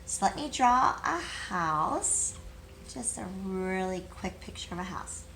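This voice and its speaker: A woman's voice: medium pitch, expressive, slow, vocal fry, fairly bright tone, a little hiss or hum, low-quality recording. A woman teacher leading a read-aloud lesson for young children in simple, encouraging language, asking questions and answering them herself.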